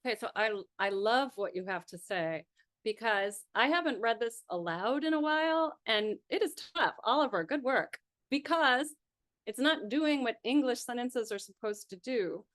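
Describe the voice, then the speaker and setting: A woman's voice: a little high, lively intonation, moderate pace, fairly bright tone. Woman leading an online poetry seminar. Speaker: seminar instructor.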